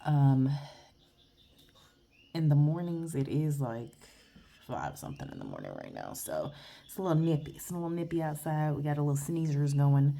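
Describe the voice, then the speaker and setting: A woman's voice: deep voice, very animated, normal speed, creaky, pauses often, warm tone. A woman tarot reader, blunt and chatty, giving a rambling casual reading outdoors while congested and sniffly. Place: outdoors in the early morning.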